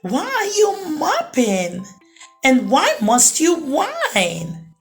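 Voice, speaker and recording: Woman as a storybook monkey, high squeaky pitch, lively swoops, cheeky, eager, bossy helper. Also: drawn-out words, over music, heavily acted. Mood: puzzled, chiding. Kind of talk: children's storybook read-aloud.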